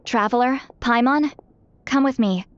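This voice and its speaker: Woman acting aloof, high-voiced craftswoman, slightly muffled. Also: a little slow, a little hiss or hum, close to an everyday voice. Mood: resigned, curt. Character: reserved, aloof, long-lived craftswoman keeping old duty. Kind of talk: video game dialogue voice acting. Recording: dull audio with background music.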